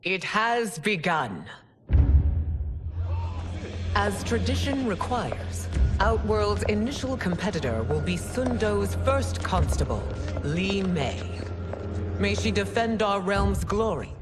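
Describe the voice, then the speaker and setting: A woman playing a stern, regal widowed empress in a game cutscene, speaking with formal, measured command. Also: medium pitch, big pitch swings, music in the background. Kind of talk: video game cutscene acting.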